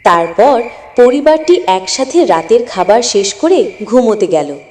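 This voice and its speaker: A woman's voice: a little high, expressive, measured pace, darkish tone. A woman narrating a scripted moral story as the storyteller. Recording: long echoing reverb.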